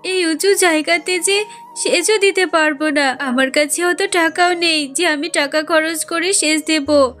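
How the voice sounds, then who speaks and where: A woman's voice: very high-pitched, a little slow, a bit bright, faint music behind. A woman voicing a poor, kind, devout fox wife in a cartoon, speaking in an earnest, plaintive way. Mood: worried, pleading. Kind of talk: cartoon character acting.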